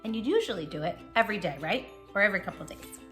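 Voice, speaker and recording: Very animated, bright-voiced woman hosting children's story time. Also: medium pitch, rapid-fire, faint music behind. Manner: playful, intimate direct address to a child. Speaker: children's book read-aloud host. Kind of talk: animated read-aloud for young children.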